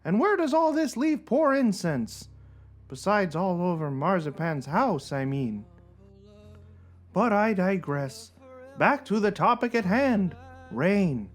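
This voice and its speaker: Plaintive, pedantic cartoon blogger played by a man, measured pace with swooping intonation. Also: sits high, big pitch swings, heavily acted. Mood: fussy, melancholy. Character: fussy, melancholy male cartoon blogger, solitary and pedantic. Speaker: performer in a podcast dramatic reading. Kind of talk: dramatic reading of a comic blog.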